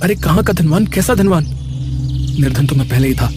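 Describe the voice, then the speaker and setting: A man's voice: on the high side, animated, brisk, lightly acted. A man playing a poor, humble young goatherd in a cartoon story, earnest and questioning in a formal way. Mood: surprised, aggrieved. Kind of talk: Hindi cartoon story character dialogue. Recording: music behind the voice.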